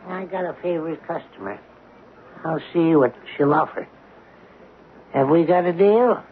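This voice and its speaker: A man's voice: a little high, a little slow, natural voice. A man acting in a 1970s radio drama as a sly small-time coin dealer, haggling in a wheedling, needling way. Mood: wheedling, shrewd. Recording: noisy, phone-like old radio recording.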